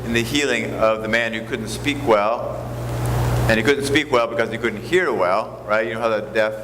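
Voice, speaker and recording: Folksy preacher in a reverberant church. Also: male voice, mid-range voice, moderate pace. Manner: folksy, self-deprecating, rambling asides. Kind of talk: casual sermon. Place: church sanctuary. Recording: reverberant church with background hum.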